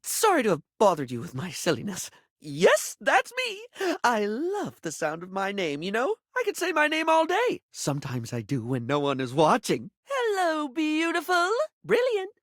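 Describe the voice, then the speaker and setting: A man's voice: very high-pitched, huge pitch swings, moderate pace, fairly bright tone, dry recording, clean studio sound, exaggerated voice. A male actor voicing a flamboyant, giddy, chatty bathroom beauty character in a video game, theatrical and gushing, with campy pet names.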